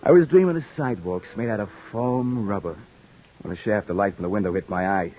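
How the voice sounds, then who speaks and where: An actor playing a weary beat cop who narrates and talks with dry wisecracks, in an old radio drama. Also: male voice, lowish voice, fairly quick, mellow, natural voice, low-quality recording. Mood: narrating. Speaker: narrator and lead. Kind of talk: 1950s radio drama acting. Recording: old radio recording with faint hiss.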